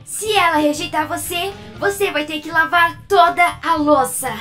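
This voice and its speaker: A woman acts out a sassy female cartoon wolf. The voice is very high and bright, confident and teasing, over some music. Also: fairly even intonation, moderate pace. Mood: sassy, skeptical. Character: self-assured, sassy female wolf animatronic. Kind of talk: cartoon fan-dub voice acting.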